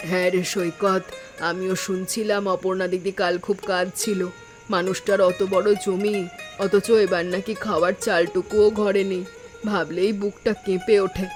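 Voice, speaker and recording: Kindly village mother, evenly pitched woman acting. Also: little pitch movement, normal speed, natural voice. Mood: sympathetic. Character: kindly, steadfast middle-aged village mother. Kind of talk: animated moral story voice acting. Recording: faint music behind the voice.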